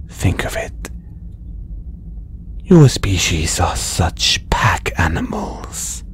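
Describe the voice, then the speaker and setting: A man playing a condescending, possessive alien collector in an intimate, breathy audio roleplay. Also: low-pitched, slight background noise. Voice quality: breathy, whispery. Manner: condescending, slow, coaxing. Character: condescending, possessive male alien collector keeping a human specimen. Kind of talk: audio roleplay acting, intimate ASMR delivery.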